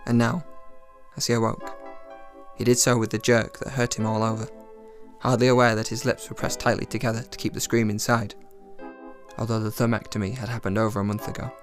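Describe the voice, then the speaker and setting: A man giving an intense, deliberate dramatic reading of a story, in the style of a radio audiobook. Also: fairly low pitch, level tone. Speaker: dramatic reader. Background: music under the voice.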